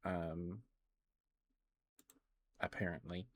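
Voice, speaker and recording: Slow, low-voiced man chatting softly on stream. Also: steady tone, no room sound. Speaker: streamer, host of the show. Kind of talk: ASMR livestream, casual chat with viewers.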